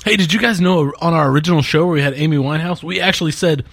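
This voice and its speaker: Gravelly, higher-voiced man joking on a podcast. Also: racing, music in the background. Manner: joking, interjecting. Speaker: podcast co-host. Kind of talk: casual joking chat.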